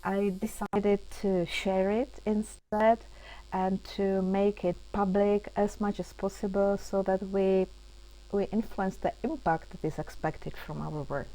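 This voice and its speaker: A woman hosting an online webinar in English, speaking haltingly with many fillers and restarts over a computer mic on a video call. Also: mid-range voice, steady tone, dark tone, rough recording. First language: Czech. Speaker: webinar host and project coordinator. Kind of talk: hesitant opening of an online webinar.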